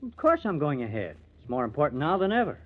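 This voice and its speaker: An actor in an old-time radio crime drama playing a bitter, sardonic newspaper artist who has turned murderer. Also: male voice, a little high, sing-song, quick pace. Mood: stern, tense. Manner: sardonic, menacing. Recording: muffled vintage radio recording.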